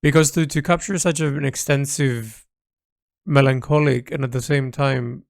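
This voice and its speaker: A man talking casually and reflectively in a personal audio log, halting as he searches for words and repeats himself. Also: medium pitch, steady tone, creaky, no echo, studio quality. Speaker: creator recording an audio log.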